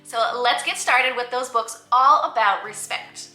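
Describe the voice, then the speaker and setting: Bright, high-voiced woman presenting in echoey room. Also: unhurried. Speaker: host recommending children's books to teachers. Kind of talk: presenter talk, explaining picture books for the classroom. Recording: roomy echo, faint music behind. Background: faint music.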